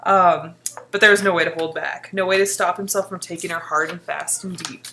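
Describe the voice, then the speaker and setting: A woman's voice: lowish voice, steady tone, brisk, bright, forceful tone, slight background noise. A woman reading a book excerpt aloud informally, with a playful, giggly manner and off-the-cuff asides. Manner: giggly, playful, often breaking off.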